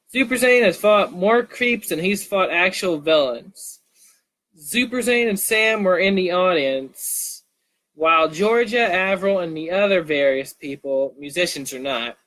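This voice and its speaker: High-pitched man reading stories aloud. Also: a bit bright, rough recording. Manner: stumbles and restarts while reading. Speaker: storyteller reading own stories live. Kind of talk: live story reading, animated narration with casual asides.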